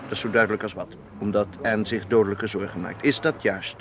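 An actor in a radio play playing a calm, methodical man who offers himself as a bodyguard, speaking in a measured, logical way. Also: lowish voice, background noise, lightly acted. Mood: assertive, reasoning. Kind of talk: radio drama acting.

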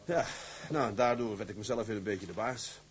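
A man's voice: fairly low pitch, a little fast, close to an everyday voice. An actor playing an earnest, eager young seaman who has just qualified as a ship's mate, speaking respectfully and a little hesitantly. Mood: relieved, recounting. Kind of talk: radio or screen drama dialogue.

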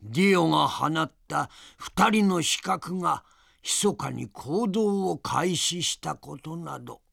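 Crusty old grandpa storyteller: very gravelly, animated, dramatic, dry studio sound. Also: male voice, fairly high pitch, takes it slowly, dry recording, full character voice. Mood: reminiscing. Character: crusty, lively old grandfather adventurer recounting his journey. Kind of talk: Japanese audio drama acting and narration.

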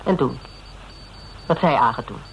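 Probing detective, slightly noisy recording. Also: male voice, medium pitch, lively intonation, unhurried, creaky. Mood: probing, firm. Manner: methodical questioning, clipped phrases. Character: probing, level-headed detective piecing a case together. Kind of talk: Dutch radio drama acting. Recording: noisy old radio drama recording.

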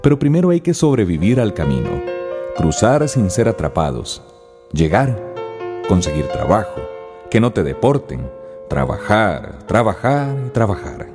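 A male narrator delivers a radio drama script in a low voice. His intonation moves widely through solemn, rhetorical phrases, with music clearly underneath. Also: sing-song, unhurried. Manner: solemn, rhetorical list-like cadence. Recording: music behind the voice.

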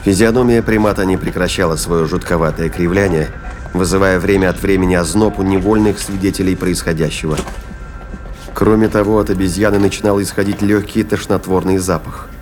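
A male storyteller with a low voice narrates a scripted sci-fi drama. His delivery is measured and descriptive, and music plays faintly beneath it. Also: steady tone, a little mellow.

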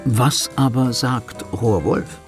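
A man's voice: medium pitch, slow, soft background music. A man narrating a scripted radio feature in formal, literary phrasing.

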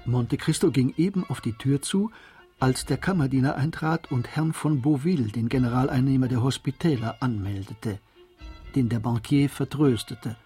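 A man narrating a literary radio drama in German, in a scripted storytelling style with a soft-edged voice. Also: medium pitch, moderate pace, breathy edge, faint music behind.

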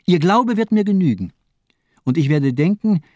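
Casting: radio drama villain, a zealous, mocking doctor; animated, swooping delivery, insistent and rhetorical, close dry sound. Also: male voice, sits high, moderate pace, no room sound. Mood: taunting, insistent.

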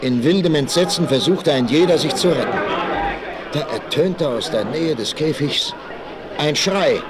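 An actor narrating a western adventure in an emphatic, suspenseful style for a 1960s radio play. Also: male voice, fairly high pitch, lively intonation, measured pace, a loud crowd. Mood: dramatic, gripping. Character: dramatic storyteller of a western adventure. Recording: vintage vinyl radio play, faint noise.

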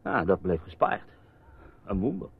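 A man's voice: deep voice, sing-song, measured pace, gravelly, slightly rough, full character voice. A man acting in a radio drama as a reporter husband, evasive and teasing, with a wry, placating manner. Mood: teasing, storytelling. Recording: dull, muffled radio play recording.

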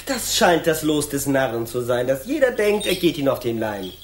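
An actress playing a mocking, mischievous court jester in a radio drama, talking in sing-song, sardonic rhymes. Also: female voice, rumbling low, sing-song, moderate pace, a little hiss or hum. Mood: mock offended. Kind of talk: German children's radio drama acting.

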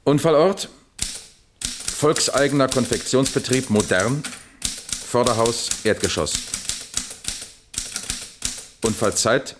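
An actor playing a businesslike police captain in a 1960s German radio crime drama, methodical and official as if dictating a report. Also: male voice, medium pitch, a little slow, pauses often, noisy background, natural voice. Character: businesslike male police captain heading an accident investigation.

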